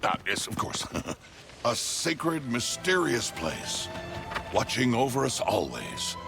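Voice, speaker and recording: An actor playing a gruff, kindly older guardian and playful narrator in a family adventure game, with a wry, hammy delivery. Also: male voice, mid-range voice, wildly swooping pitch, takes it slowly, exaggerated voice. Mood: wry, grand. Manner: wry, hammy, comically flustered. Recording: music behind.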